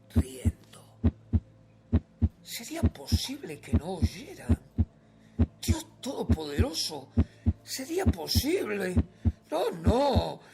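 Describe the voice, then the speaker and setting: A Uruguayan actor playing a paranoid, guilt-ridden murderer in a theatrical radio horror monologue, with intense, halting delivery. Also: male voice, high-pitched, vocal fry, a little mellow, full character voice. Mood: frantic, paranoid. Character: guilt-maddened murderer confessing, paranoid, increasingly frantic man. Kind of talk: theatrical dramatic reading of a horror story.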